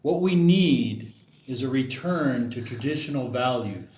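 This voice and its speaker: Echoey room, man acting dogmatic male pastor, slow, solemn, declamatory, swinging pitch. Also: expressive, darkish tone, dull audio, close to an everyday voice. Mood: grave conviction. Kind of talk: screenplay table read sermon.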